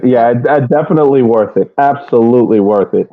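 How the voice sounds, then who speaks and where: A man co-hosting a casual soccer podcast, speaking haltingly and repeating words as he forms his point. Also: medium pitch, no room sound. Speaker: sports podcast co-host. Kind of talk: casual fan chat about soccer. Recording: thin phone-like, radio-like call audio.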